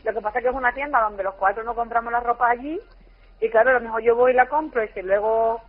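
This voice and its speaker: Una mujer con acento andaluz que habla de forma coloquial por teléfono. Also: female voice, fairly high pitch, quick pace. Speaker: prank call recipient. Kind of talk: casual phone conversation. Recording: dull lo-fi phone line.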